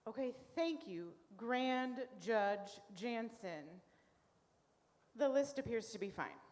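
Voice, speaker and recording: Woman playing a slow-spoken, formal reverend. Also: fairly high pitch, expressive, a little mellow. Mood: patient, formal. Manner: measured, formal. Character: patient, formal female reverend, dryly long-suffering. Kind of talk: comic live radio play. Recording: reverberant church hall.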